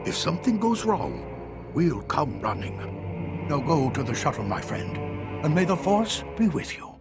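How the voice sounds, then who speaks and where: A man playing an elderly, theatrical, wise Jedi master in a video game, with dramatic pauses and drawn-out mentor phrasing. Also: on the high side, very animated, loud music behind the voice. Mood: grave, explanatory.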